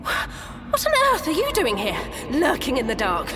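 A woman's voice: sits high, wildly swooping pitch, noisy background, exaggerated voice. An actress playing a well-mannered, anxious but determined wife searching for her husband in a gothic noir audio drama. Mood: alarmed, demanding. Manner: polite, brisk, wry when alone.

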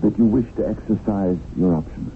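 Man playing a deep-voiced, slyly polite swindler. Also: fairly quick, close to an everyday voice. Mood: feigned innocence. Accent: American. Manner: unctuous, formal, feigned innocence. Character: sly, smoothly polite crooked male land seller. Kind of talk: radio mystery drama acting. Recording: muffled, noisy 1970s radio broadcast recording.

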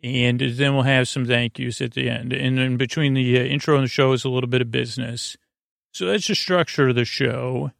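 Guy doing a rambly sleep podcast, kinda creaky gravelly voice, keeps wandering off on tangents, really dry close recording. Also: medium pitch, steady tone, no room sound. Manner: meandering, halting, goes off on tangents. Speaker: podcast host. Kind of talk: rambling bedtime podcast monologue.

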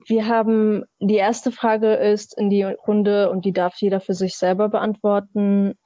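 A woman in her twenties from Hamburg, calmly moderating a podcast and putting questions to the group. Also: medium pitch, measured pace, no echo. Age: 20s. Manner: calm, asks questions, even delivery. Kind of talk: casual podcast chat. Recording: dull, dry lo-fi sound.

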